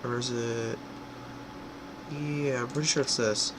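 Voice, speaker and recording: A young man with a cold, his voice stuffy and congested, walks through a software tutorial in a loose, rambling way, often stopping to correct himself. He is recorded on a home computer mic, with a clear air-conditioning hum behind him. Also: medium pitch, steady tone, unhurried. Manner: rambling, filler-heavy, self-correcting. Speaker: tutorial creator.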